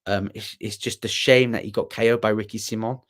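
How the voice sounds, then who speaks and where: A man's voice: fairly low pitch, steady tone, fairly quick. A young man hosting a casual livestream, rambling and self-deprecating as he answers viewers with plenty of ums and restarts. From Wales. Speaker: livestream host.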